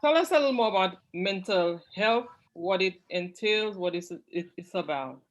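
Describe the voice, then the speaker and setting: A woman's voice: medium pitch, lively intonation, moderate pace, muffled recording. A woman with a Saint Lucian accent hosting an interview in a friendly, hesitant way, with filler sounds. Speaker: host.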